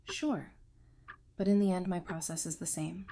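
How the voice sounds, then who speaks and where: A woman playing a composed, tough-minded therapist in an audio drama, speaking in a measured, dry, self-assured way. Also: on the low side, dark tone, a little hiss or hum, lightly acted. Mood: firm.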